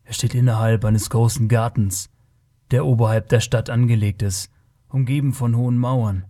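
A man's voice: mid-range voice, steady tone, moderate pace, dark tone, close to an everyday voice. A man voicing a blunt, naive and proud young barbarian in a fantasy audiobook, speaking in a curt, earnest way. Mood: curious.

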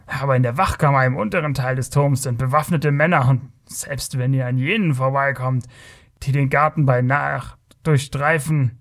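Here is a man playing a swaggering male rogue from a fantasy audiobook. He jeers and bellows with scornful condescension. His temper is short and his boasting is loud. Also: medium pitch, moderate pace, lightly acted. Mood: boastful warning. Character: mocking, boastful, hot-tempered southern male thief in a tavern.

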